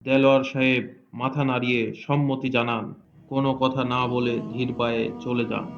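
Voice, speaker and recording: A man's voice: mid-range voice, flat delivery, moderate pace. A man narrating a scripted cartoon story as its storyteller. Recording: dull, lo-fi sound under loud music. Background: music.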